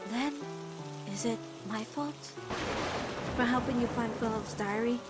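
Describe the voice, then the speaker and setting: A woman voicing a caring, steadfast teenage witch girl in an animated-style comic dub, reasoning firmly and tenderly. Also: a little high, unhurried, soft background music, natural voice.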